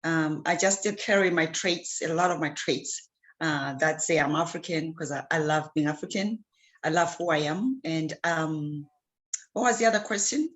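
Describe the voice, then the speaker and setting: Woman, from Zimbabwe, low-pitched, earnest, hesitant, many fillers. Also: normal speed, a bit bright. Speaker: guest, clean water advocate. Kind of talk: casual interview answers.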